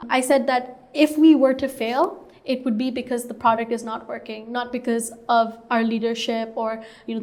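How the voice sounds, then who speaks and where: A young woman from Hong Kong, a startup co-founder, telling her story earnestly in an interview with many "like"s and "you know"s. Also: on the high side. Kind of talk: interview answers.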